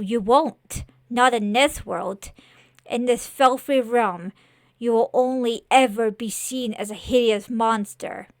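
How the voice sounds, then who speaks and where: A woman puts on a horned demon lord for a horror story. He speaks slowly in drawn-out, mocking phrases, manipulative and full of menace. The sound is dry. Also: a little high, fairly bright tone, no echo.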